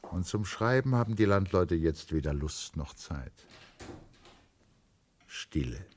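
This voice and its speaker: A man reading literary narration for a radio drama in a low-pitched voice. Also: animated, slow pace, a little hiss or hum.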